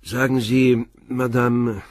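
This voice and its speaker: An actor playing a calm, persistent police commissioner who asks short, probing questions in a radio drama. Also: male voice, medium pitch, slow pace, no echo, clean studio sound, close to an everyday voice.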